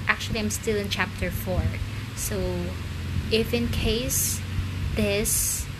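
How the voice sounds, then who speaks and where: A woman with as her chatting casually with her listeners about her story, self-conscious and rambling, with many restarts. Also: medium pitch. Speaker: amateur story writer and reader. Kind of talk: casual late-night chat to listeners. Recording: loud background noise.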